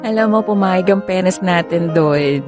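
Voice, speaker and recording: A woman's voice: fairly low pitch, normal speed, lightly acted. A woman with a Filipino accent playing an affectionate, independent young girlfriend in a conversational audio drama. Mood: teasing, fond. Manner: teasing, firm but fond. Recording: background music under dialogue.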